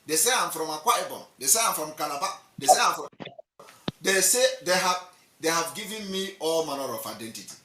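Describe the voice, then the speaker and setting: A man's voice: a little high, animated, a little fast, distant mic, noisy background. A man with a Nigerian accent giving an impassioned, repetitive political monologue, mocking and emphatic. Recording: roomy echo, off-mic.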